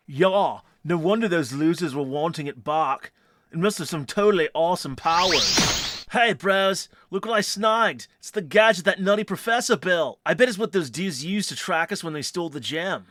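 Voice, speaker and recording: A man's voice: on the high side, lively intonation, moderate pace. A man voicing a cocky turtle henchman with a slangy surfer-dude drawl in an amateur cartoon overdub. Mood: awed, scheming. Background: loud music.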